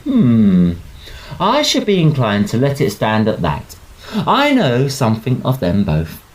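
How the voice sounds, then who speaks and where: A man's voice: mid-range voice, wildly swooping pitch, a little slow. A man playing a hearty, gossipy young student oarsman in a Victorian audio drama, speaking emphatically in clipped British English. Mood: confiding, disdainful. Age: young adult.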